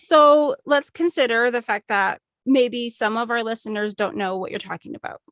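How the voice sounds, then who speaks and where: A woman's voice: fairly high pitch, moderate pace, a bit bright, dry recording. A woman hosting a podcast, asking her guest plain, friendly questions. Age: adult. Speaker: podcast host. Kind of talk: podcast interview.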